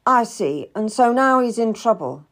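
A woman's voice: on the high side, very animated, normal speed, fairly bright tone, voice pushed up. A woman voicing a brusque, condescending, calculating Tudor nobleman in a dramatised audiobook. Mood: curt, impatient. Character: curt, haughty Tudor nobleman, busy and calculating.

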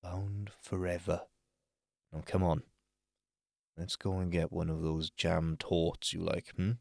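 A man playing a protective, gentle elder brother prince, solemn and reassuring, in an amateur fantasy audio drama. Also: sits low, level tone, slow, gravelly, no room sound, clean studio sound, heavily acted. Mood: tender, reassuring.

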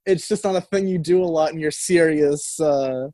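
A man's voice: fairly high pitch, normal speed, mellow, dry recording. Rambling young man reviewing an album. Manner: rambling, many fillers and restarts. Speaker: college radio co-host. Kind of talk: casual music review chat. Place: radio studio. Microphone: studio mic.

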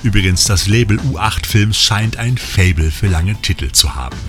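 A man's voice: lowish voice, lively intonation, normal speed, a bit bright. A man presenting scripted film and release news as a podcast host, with wry asides addressed to the listeners. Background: music under the voice.

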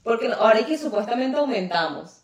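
A woman with a Colombian Caribbean coast accent chats and teases in a casual podcast, laughing easily, her voice a touch high. She sits back from the mic, so the room echoes around her. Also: animated, fast, far from the mic. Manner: laughs often, teasing and chatty. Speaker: podcast co-host. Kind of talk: casual chat.

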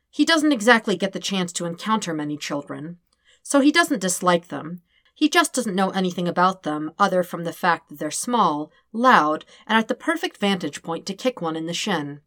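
Woman narrating a story, very lively pitch. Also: no room sound, studio quality. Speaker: podfic reader. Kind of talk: expressive narration of fan fiction.

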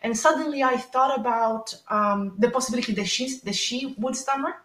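A woman's voice: a little high, moderate pace, fairly bright tone. Emotional woman who stammers, echoey room. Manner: open, emotional, stammers at times. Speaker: guest, speech and language therapist. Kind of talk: podcast conversation.

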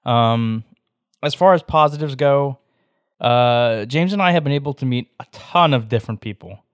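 A man's voice: medium pitch, normal speed, smooth, no room sound, clean studio sound. A young man co-hosting a card game podcast, rambling casually with lots of fillers and self-deprecating jokes. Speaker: podcast co-host. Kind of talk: casual chat.

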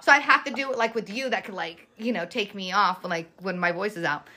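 A raspy-voiced young woman co-hosting a podcast, telling stories in animated casual chat and swearing freely. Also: mid-range voice, brisk, slight background noise. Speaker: podcast co-host.

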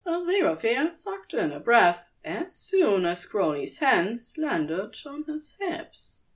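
Slow, expressive woman narrator. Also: a little high. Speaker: audiobook-style narrator. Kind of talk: scripted fiction narration.

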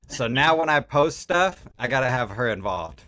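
A man's voice: mid-range voice, lively intonation, moderate pace, sharp tone. A man speaking casually and at length as a guest music producer, with slangy, talkative phrasing. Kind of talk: casual podcast chat.

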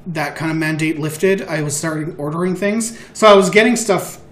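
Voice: mid-range voice, moderate pace. Chatty podcast co-host in an echoey room. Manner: animated, sweary storytelling with mock quotes. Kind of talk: casual group chat. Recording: roomy echo, audible background noise.

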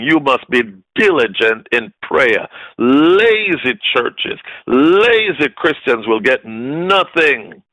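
A man's voice: mid-range voice, sharp tone, no echo, rough recording. A man preaching a fervent sermon, emphatic and repeating short phrases for effect.

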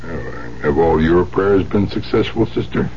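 An actor playing a gruff, cynical older art dealer in a 1970s radio drama, blunt and sardonic with streetwise phrasing. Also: male voice, deep voice, moderate pace, natural voice. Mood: sardonic, needling. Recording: muffled, hissy 1970s radio recording.

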